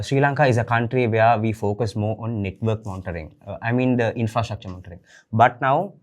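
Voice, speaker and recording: A man's voice: mid-range voice, a little mellow. Explanatory male sales head. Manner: explanatory, lists items. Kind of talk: roundtable discussion.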